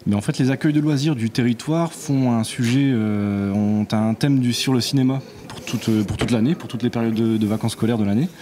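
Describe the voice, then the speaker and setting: A man from a technical team explaining things off the cuff, halting and correcting himself. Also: mid-range voice, fairly even intonation, mellow. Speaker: technical team member, guest. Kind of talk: unscripted explanation.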